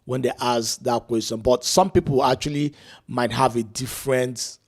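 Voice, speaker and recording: A man's voice: mid-range voice, fairly even intonation, normal speed, breathy edge. A middle-aged man from Africa hosting a casual discussion, talking reflectively and tagging lines with "right?" and "you know". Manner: reflective, frequent "right?" and "you know" asides, laughs while telling stories. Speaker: podcast host.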